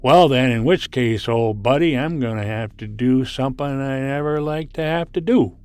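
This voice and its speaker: A man's voice: medium pitch, normal speed, a little mellow, clean tone, natural voice. An actor playing a jolly, hard-drinking spaceship communications man in a folksy, chummy 1950s science fiction radio drama style. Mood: drunken, jolly. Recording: noisy vintage 1950s radio recording.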